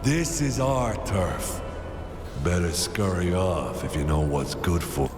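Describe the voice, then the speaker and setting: A man's voice: low voice, animated, takes it slowly. A man voicing a menacing, territorial street thug in a video game. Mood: threatening. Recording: reverberant, music underneath.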